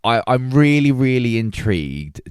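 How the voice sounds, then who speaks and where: A man's voice: mid-range voice, very animated, normal speed, darkish tone, clear, resonant tone, no room sound, clean studio sound. A man co-hosting a podcast, chatting casually about films and stressing his points by repeating words. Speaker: podcast co-host. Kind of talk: casual film discussion.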